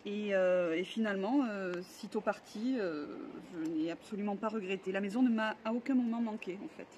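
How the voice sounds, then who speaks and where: A woman's voice: medium pitch, a little fast, a little mellow. A woman, chatting casually and a little hesitantly, repeating words for emphasis. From France. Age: 30s. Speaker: vlog interviewee, expatriate. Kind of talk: casual chat. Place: outdoors in a residential neighbourhood. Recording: noisy outdoor recording.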